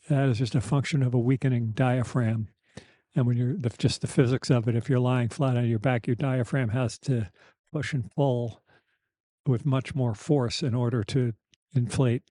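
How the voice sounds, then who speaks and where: A middle-aged or older man speaking candidly and haltingly as a podcast host, with a soft, slightly weakened voice, a slight lisp and wry reflection. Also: mid-range voice, fairly even intonation, dry recording. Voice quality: slight lisp, thick-tongued articulation. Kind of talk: reflective personal conversation.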